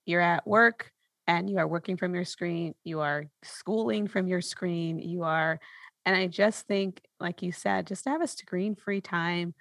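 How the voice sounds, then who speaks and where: A woman's voice: on the low side, unhurried, gravelly, a bit bright, dry recording. A woman hosting a podcast, responding conversationally to her guest in an affirming, reflective way. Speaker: podcast host.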